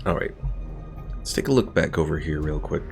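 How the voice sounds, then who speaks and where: Deep, gravelly gaming streamer. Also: male voice, moderate pace. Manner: relaxed, thinking aloud, chatting to viewers. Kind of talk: casual let's-play commentary. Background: game music.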